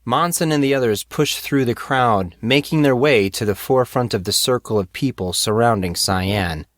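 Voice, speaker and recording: A man narrates a dramatic story at an ordinary male pitch. The recording is close and dry, with very little room sound, and the delivery is that of scripted audiobook fiction. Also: normal speed, fairly bright tone, no room sound.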